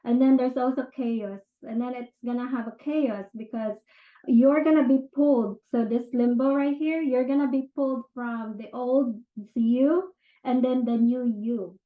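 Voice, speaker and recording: A woman's voice: fairly high pitch, steady tone, measured pace. A woman with a Filipino accent, explaining personal change in a casual, chatty way and often checking in with "right?". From Philippines. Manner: conversational, many fillers and "right?" check-ins. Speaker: self-improvement teacher. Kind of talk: casual explanatory talk to camera. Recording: tinny phone-like sound in an echoey room.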